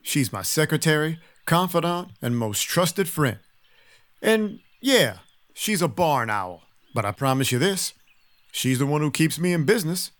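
An actor playing a world-weary vampire detective, narrating an audio drama in dry, deadpan noir style. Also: male voice, on the high side, a little slow. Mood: dry, matter-of-fact. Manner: wry, deadpan. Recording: music playing behind the voice. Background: background music.

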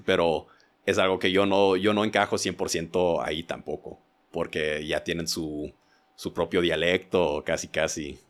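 Very low-voiced man, hesitant. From United States, Indiana. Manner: hesitant, self-correcting, qualifying himself. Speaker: podcast guest. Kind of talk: casual interview, personal reflection.

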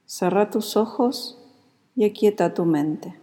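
A woman with a Rioplatense Spanish accent guides a meditation in a calm, soothing, unhurried manner. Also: mid-range voice. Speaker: yoga nidra meditation guide. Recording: long reverberant hall-like echo.

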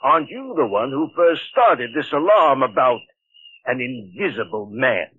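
A man's voice: medium pitch, sing-song, measured pace, slightly rough, no echo. A man playing an old professor in a 1940s radio drama, indignant and formal. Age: older. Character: old male professor, defensive and proud. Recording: muffled old radio recording.

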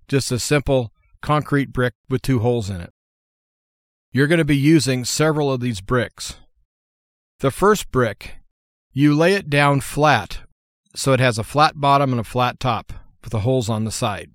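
A man's voice: medium pitch, no echo, clean studio sound. A man giving an unscripted how-to talk as a host, in a folksy, plainspoken, step-by-step manner. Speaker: host of a survival skills show.

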